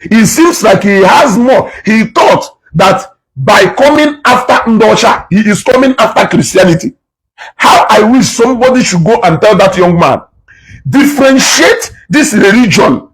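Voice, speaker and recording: This is a man talking straight to his viewers in a fired-up reaction commentary. His voice sits fairly high and sounds husky and breathy. His pitch leaps around as he drives home each point, often repeating himself. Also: rough recording. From Nigeria, Igbo. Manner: passionate and preachy, repeats words for emphasis.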